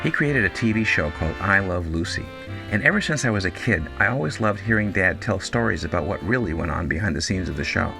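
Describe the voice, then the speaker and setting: A man's voice: deep voice, expressive, a little fast, gravelly, sharp tone, soft background music. A man giving a scripted, storytelling introduction as the producer of a show.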